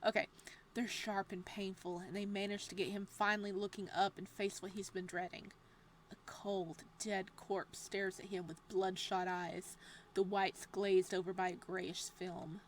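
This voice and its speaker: A woman reading a horror visual novel's text aloud in a hushed, steady voice. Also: medium pitch, measured pace, a little hiss or hum.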